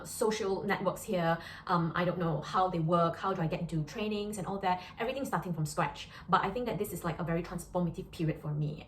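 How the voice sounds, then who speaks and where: A young Malaysian woman vlogs about her life as a doctor. She talks in an earnest, chatty way with lots of fillers, her voice sitting a little low. The room is echoey, with a faint hiss behind her. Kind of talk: casual vlog monologue.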